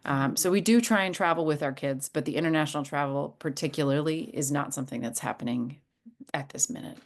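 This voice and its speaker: A woman with a low voice answers questions as a school administrator. Her delivery is informal and explanatory, as on a video call. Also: fairly quick.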